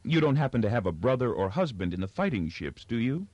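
Man playing young woman, composed, spirited, light pointed delivery, storybook character, lively intonation. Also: mid-range voice, moderate pace, no room sound, muffled recording, pitched above the performer's own voice. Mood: cool, pointed.

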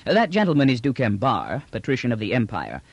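A man's voice: medium pitch, huge pitch swings, a little fast, no room sound, lo-fi sound. A man voicing a confident, coolly menacing male imperial general with formal, precise, authoritative speech in an audiobook. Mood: proud, courteous. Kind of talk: audiobook character dialogue.

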